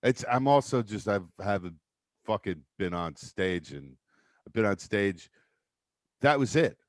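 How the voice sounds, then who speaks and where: A deep-voiced male comedian running through a stand-up bit with profane, self-mocking asides. His pitch swings widely as he talks. The sound is dry and close. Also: lively intonation, measured pace, no echo.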